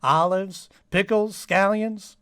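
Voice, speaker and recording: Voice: medium pitch, slow, fairly bright tone, clear, resonant tone, dry recording, clean studio sound. A performer playing a naive, earnest male police sidekick in a 1950s-style radio parody, rambling in a folksy, repetitive way. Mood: friendly, rambling.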